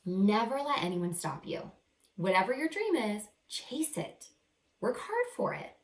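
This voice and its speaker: A woman reading a picture book aloud to children as a teacher, expressive and encouraging, pausing to explain words simply. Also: fairly high pitch. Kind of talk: animated children's read-aloud with simple asides. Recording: roomy echo, distant microphone.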